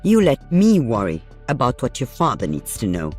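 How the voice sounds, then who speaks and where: An actress playing a weary, protective elderly mother and veteran sniper in a video game, with a dry, measured manner. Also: very deep voice, huge pitch swings, music in the background, full character voice. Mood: tender, earnest. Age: older.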